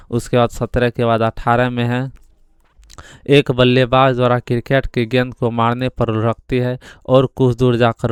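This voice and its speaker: A man's voice: medium pitch, clean tone, no echo, studio quality. A man teaching exam answers question by question, repeatedly asking and then answering himself. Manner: repetitive, asks questions then answers them. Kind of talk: question-by-question answer key explanation.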